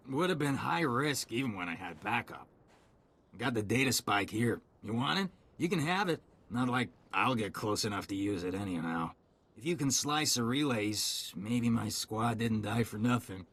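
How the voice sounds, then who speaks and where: An actor playing a tipsy, fatalistic soldier who rambles, in a video game. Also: male voice, medium pitch, expressive, moderate pace, a bit bright, breathy edge. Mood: resigned. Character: boozy, fatalistic soldier, last of his squad. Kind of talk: video game dialogue acting.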